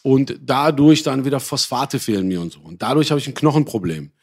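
A man's voice: medium pitch, animated, brisk, darkish tone, no echo, clean studio sound. A man born in Iran who grew up in Germany, talking casually and personally as an interview guest, in slangy, colloquial language with digressions and self-corrections.

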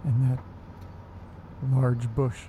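Man acting a slow-talking, muttering everyday guy. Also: medium pitch, noisy background. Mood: wry, deflating. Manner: muttering to himself, casual, deadpan. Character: restless, sweary man talking to himself and his pets. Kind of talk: naturalistic horror audio drama monologue.

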